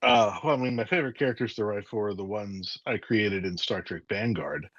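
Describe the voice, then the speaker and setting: A man, a writer interviewed as guest, telling stories in a lively way and acting out scenes. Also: fairly low pitch, brisk, dry recording, muffled recording. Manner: lively, acts out scenes with voices. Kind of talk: animated storytelling in a casual interview.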